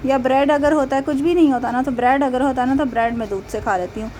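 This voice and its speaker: A woman's voice: high voice, quick pace, darkish tone, a little hiss or hum. A young Pakistani woman with a Pakistani Urdu accent, chatting casually in a vlog voice-over. She laughs often and uses many fillers. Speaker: vlogger.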